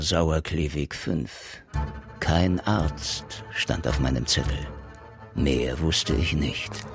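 An actor playing a dry, courteous police inspector in a radio crime drama, speaking formally and with measured authority. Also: male voice, rumbling low, exaggerated voice. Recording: music behind the voice.

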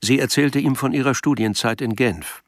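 A man's voice: mid-range voice, normal speed, no echo, studio quality. A man reading literary scripted narration for a radio drama. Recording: music behind the voice.

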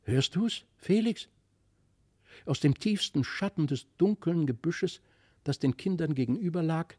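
A male narrator reads a classic tale with a mellow, dark-edged tone. His delivery is careful and expressive, and the recording is close and dry. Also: fairly high pitch, normal speed, husky, no room sound. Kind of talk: expressive literary audiobook storytelling.

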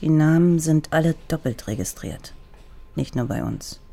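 A woman's voice: deep voice, lively intonation, normal speed, vocal fry, mellow, heavily acted. A woman playing a teasing, sardonic East German police lieutenant in a radio crime drama, speaking bluntly and with mocking familiarity.

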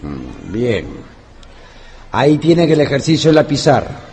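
An actor playing a stern, authoritative male schoolteacher who speaks deliberately in a radio drama. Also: mid-range voice, wildly swooping pitch, a little mellow, slightly rough, exaggerated voice. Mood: formal, instructing. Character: stern male schoolteacher commanding his classroom. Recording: long hall reverb, distant.

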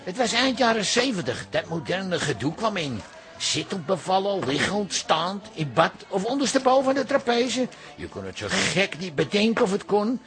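An actor playing a fussy, opinionated older hairdresser telling anecdotes emphatically in a radio comedy-drama. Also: male voice, high voice, big pitch swings, normal speed, a bit bright, heavily acted. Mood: droll, opinionated. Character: fussy, opinionated old hairdresser and proud father.

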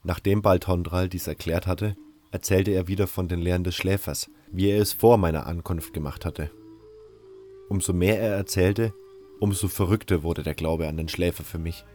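A man's voice: low voice, fairly even intonation, normal speed, mellow, soft background music, natural voice. A man playing a sceptical prisoner who narrates his own story in a scripted fantasy audio drama. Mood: narrating.

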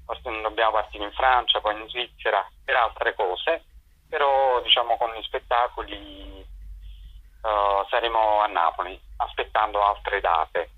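A man's voice: lowish voice, unhurried. Middle-aged man on a dull phone-like recording. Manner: hesitant, searching for words, explanatory. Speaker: theatre director and performer. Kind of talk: informal spoken interview answers. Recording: dull lo-fi telephone-radio sound.

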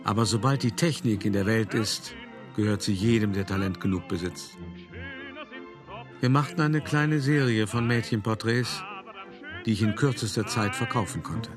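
An actor playing an older, cultivated art dealer who recounts his past in a measured radio play monologue with dramatic pauses. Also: fairly low pitch, music in the background, natural voice. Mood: wistful pride.

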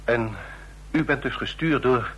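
Very husky, anxious old traitor. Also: male voice, mid-range voice, low-quality recording. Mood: uneasy. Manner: halting, clipped phrases. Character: anxious old rogue, cornered traitor desperate for money. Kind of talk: Dutch radio drama acting.

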